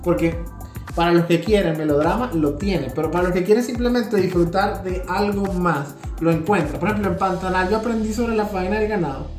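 A man's voice: sits high, brisk, darkish tone. A man with a Venezuelan accent talking casually as a podcast co-host, explaining his points and often checking for agreement. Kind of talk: casual conversational discussion. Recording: roomy echo with music behind. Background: music.

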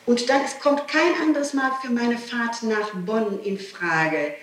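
A woman's voice: a little high, very animated, slow, fairly bright tone. A woman being questioned gives her statement emphatically and insistently, repeating herself as she goes. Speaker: person being questioned by police. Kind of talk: statement under interrogation. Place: echoey interrogation room. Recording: noisy, echoey archival tape, distant mic.

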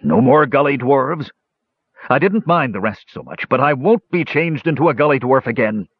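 Guy doing a whiny pleading dragon for a fantasy audiobook, husky, kinda muffled recording. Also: mid-range voice, expressive, moderate pace, no echo, pitched above the performer's own voice. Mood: eager, wary. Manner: whining, pleading.

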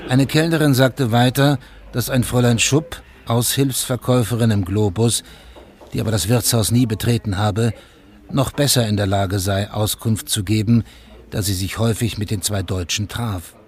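A man's voice: fairly low pitch, steady tone, normal speed, darkish tone. A man narrating a scripted radio drama in a sober, factual manner, in short plain statements.

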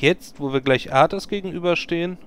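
A man's voice: a little high, fairly quick, a bit bright, slight background noise, natural voice. A man playing a bossy, grumbling leader of fantasy heroes in a parody audio drama, blunt, sarcastic and commanding. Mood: reproachful. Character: bossy, grumbling male leader of a hero party.

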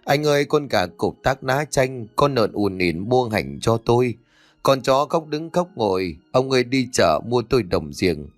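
A man's voice: mid-range voice, very animated, unhurried, no echo, soft background music. Man playing a wailing, melodramatic young crook sidekick. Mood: comic lamenting. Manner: lamenting in rhymed, exaggerated mock-mourning.

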